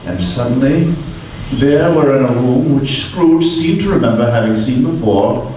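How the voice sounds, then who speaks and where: A man narrating a radio play in a measured, dramatic manner, heard on an old broadcast recording. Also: mid-range voice, mellow, very echoey, far from the mic, slight background noise, poor audio. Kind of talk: scripted narration.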